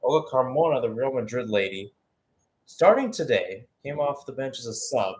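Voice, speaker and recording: A man's voice: medium pitch, big pitch swings, normal speed. Man calling a live football match. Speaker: play-by-play football commentator. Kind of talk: live radio match commentary.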